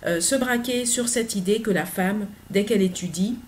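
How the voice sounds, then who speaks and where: A middle-aged woman, talking earnestly in a personal vlog, pausing often with hesitant fillers. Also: medium pitch, slight background noise. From Côte d'Ivoire. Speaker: author.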